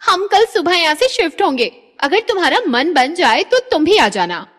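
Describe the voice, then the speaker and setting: A woman's voice: extremely high pitch, sing-song, moderate pace, a bit bright, voice pushed up, heavily acted. A woman voicing an anxious young hostel girl. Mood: worried. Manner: worried, urgent. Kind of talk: cartoon voice acting. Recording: reverberant, with music behind.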